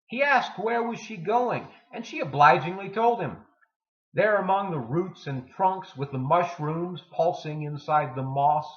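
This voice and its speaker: A man giving a dramatic poetry reading with deliberate, theatrical delivery and emphatic pauses. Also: a little high. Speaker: poetry reader. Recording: dull lo-fi sound in echoey room.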